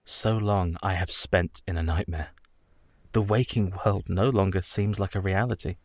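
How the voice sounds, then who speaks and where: An actor playing a haunted, devoted young Victorian husband in a radio play, solemn and formal. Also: male voice, deep voice, fairly even intonation, moderate pace, dry recording, studio quality.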